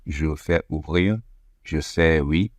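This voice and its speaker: A man narrating a step-by-step software tutorial over a screen recording, methodical, with frequent hesitation fillers. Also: very deep voice, slow pace, dry recording. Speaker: tech tutorial presenter.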